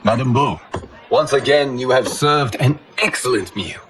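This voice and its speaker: An actor playing a noble, solemn guardian god in a video game, with a formal, measured, dignified delivery. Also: male voice, mid-range voice, fairly bright tone, lightly acted. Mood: calm, gracious. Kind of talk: dramatic video game cutscene acting. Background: music.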